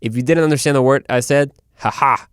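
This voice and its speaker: Casual male host, medium pitch, crisp voice, chatty self-interrupting delivery to listeners. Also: fairly quick, no room sound, studio quality. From Guadalajara, Mexico. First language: Spanish. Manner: informal, conversational, self-interrupting. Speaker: show host.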